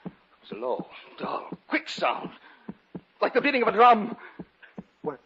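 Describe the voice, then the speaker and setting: An American actor playing a guilt-racked, nervous murderer in an old radio horror drama, with theatrical, halting delivery. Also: male voice, high voice, huge pitch swings, husky, heavily acted. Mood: brooding, haunted. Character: unhinged male murderer, guilt-racked, feigning polite composure before cracking. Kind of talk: 1940s-50s American radio horror acting, dialogue and narration. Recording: dull old radio recording with music.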